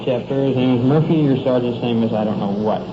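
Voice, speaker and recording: A man's voice: medium pitch, a little fast. Indignant, formal American man, muffled noisy recording. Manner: formal, indignant, pedantic wording. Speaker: arrested suspect being booked. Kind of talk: argumentative exchange at police booking desk. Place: police station booking desk. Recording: muffled, noisy 1950s field radio recording.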